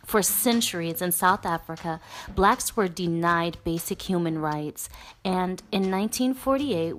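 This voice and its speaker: A woman narrating a children's book as a read-aloud, in an expressive, deliberate way. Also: on the low side, a little hiss or hum.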